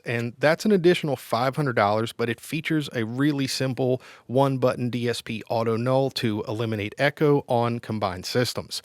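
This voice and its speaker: A man's voice: mid-range voice, no echo. Man reviewing gear, dry close sound. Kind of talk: semi-scripted product review narration.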